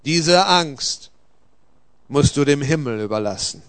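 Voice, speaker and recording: A man voicing a stern but gentle angel who admonishes solemnly in a dramatic story reading. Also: on the high side, animated, slow, clear, resonant tone, no room sound, exaggerated voice.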